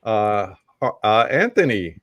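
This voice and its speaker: A man's voice: lowish voice, sing-song, slow, no room sound. A middle-aged man hosting a live roundtable stream, casually greeting viewers from the chat. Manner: laid-back, shouting out chat viewers. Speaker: host.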